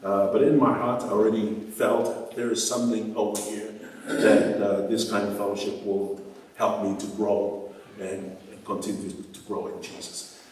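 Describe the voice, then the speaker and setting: A man's voice: fairly low pitch, flat delivery, moderate pace, darkish tone, distant mic, slight background noise. This is an older Tonga-born man with a New Zealand accent, sharing his story with a church gathering. He rambles, hesitates and chuckles between thoughts. He is picked up from well away from the mic, in a hall with long reverb. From born in Tonga, raised in New Zealand. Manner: rambling, hesitant, many fillers, chuckles often. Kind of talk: informal testimony to a group.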